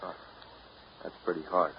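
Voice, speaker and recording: An actor playing a restless, rueful friend in a 1930s radio drama, with a casual way of talking. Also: male voice, measured pace, natural voice. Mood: rueful, urging. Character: Joe, restless, rueful male friend urging escape. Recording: muffled, hissy 1939 radio broadcast.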